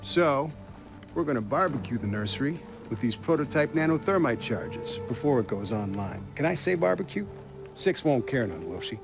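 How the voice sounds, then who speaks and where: A cocky wisecracking military man from a game cutscene. His delivery is animated, folksy and irreverent, with music playing clearly behind him. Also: medium pitch, normal speed. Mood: wry, cocky. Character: cocky, wisecracking male soldier, explosives expert. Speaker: explosives expert. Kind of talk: cinematic video game dialogue. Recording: music under the voice.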